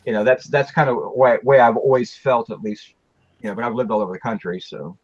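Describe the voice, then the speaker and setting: A man's voice: medium pitch, fairly quick, a little hiss or hum. A man chatting casually on a group show, rambling and restarting his sentences in a plain-spoken way. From Midwest. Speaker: show host. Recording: dull lo-fi sound.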